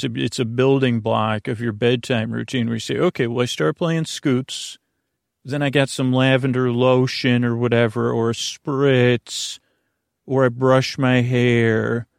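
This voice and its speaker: Creaky, meandering male bedtime podcast host. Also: medium pitch, dry recording, studio quality. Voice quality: creaky, gravelly. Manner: meandering, halting, repeats and circles back on himself; soothing, lulling. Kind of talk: rambling bedtime podcast monologue.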